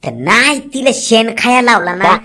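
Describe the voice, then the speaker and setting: A woman's voice: on the high side, very animated, moderate pace, bright, forceful tone. A woman voicing a feisty, naive, bossy village auntie in a cartoon comedy, combative. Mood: boastful, threatening. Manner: combative, confused, boastful. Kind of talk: Marathi comedy cartoon dialogue. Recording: music behind the voice. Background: music.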